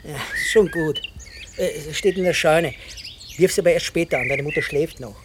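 A man's voice: a little high, normal speed, lightly acted. An actor playing a bemused, indulgent father in a naturalistic radio drama, with a mild, questioning manner. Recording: clear outdoor background noise, birdsong.

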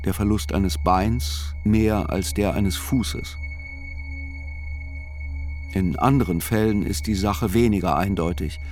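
Writer-narrator, radio play, rather low voice, measured, sober, observant, literary delivery, soft music behind. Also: male voice. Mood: sober, reflective.

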